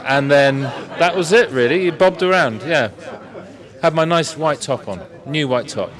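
A man's voice: fairly high pitch, moderate pace, a bit bright. A man giving a casual, dry, teasing interview outdoors into a handheld microphone. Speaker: club sailor being interviewed. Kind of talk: casual chat. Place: outdoor covered deck. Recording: busy outdoor crowd chatter.

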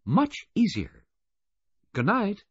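A man voicing a prim, brisk and cheerful older woman relaying messages in an audiobook. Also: high-pitched, wildly swooping pitch, husky, dry recording, muffled recording, pitched above the performer's own voice, exaggerated voice.

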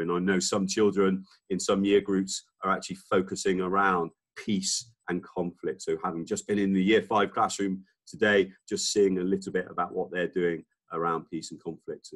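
A man in his forties, a head teacher, opening a school assembly over a video call in an upbeat, teacherly way. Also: low-pitched. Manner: warm, upbeat, teacherly, some hesitations. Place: school office, indoors. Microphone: computer webcam on video call.

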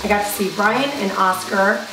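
A woman in her forties hosting a cooking show, hoarse from a cold, chatting in a playful, teasing way in a home kitchen. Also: mid-range voice, moderate pace, background noise. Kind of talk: casual kitchen chat. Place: home kitchen set, indoors. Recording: roomy, distant sound with background music.

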